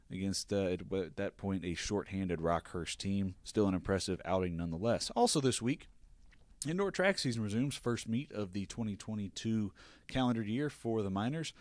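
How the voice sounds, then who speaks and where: Low-voiced upbeat college sports host. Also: male voice, a little mellow, no room sound. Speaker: podcast host. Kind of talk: sports recap and interview.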